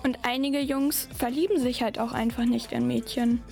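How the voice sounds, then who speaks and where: A woman's voice: on the high side, steady tone, moderate pace, gravelly, darkish tone, soft background music. A girl as a chatty, friendly teenage boy. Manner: chatty, easy, reassuring. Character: chatty sixteen-year-old schoolboy, friendly. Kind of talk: school radio play acting.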